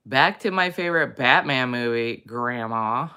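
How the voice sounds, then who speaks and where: A woman hosting a casual chat, rambling with many fillers, in a low morning voice. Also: pushed and bright, clean tone. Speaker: host. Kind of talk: casual chat reading viewer comments.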